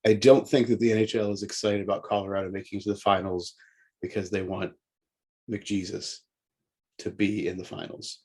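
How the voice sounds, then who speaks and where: A man's voice: on the low side, level tone, moderate pace, a little mellow, slightly rough. A man co-hosting a casual sports show, giving his opinions while thinking aloud. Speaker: co-host of a sports talk show.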